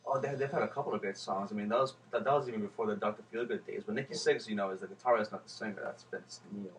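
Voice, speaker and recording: A man's voice: on the low side, very fast. A man co-hosting a podcast, teasing and swearing casually with lots of fillers. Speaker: podcast co-host. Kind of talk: casual banter. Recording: distant mic with faint noise.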